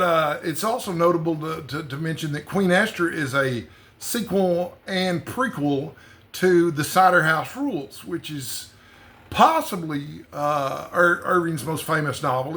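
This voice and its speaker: A man's voice: fairly high pitch, moderate pace, a bit bright. A man hosting a book channel, talking casually and conversationally without a script, in a rambling way. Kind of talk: casual unscripted book vlog.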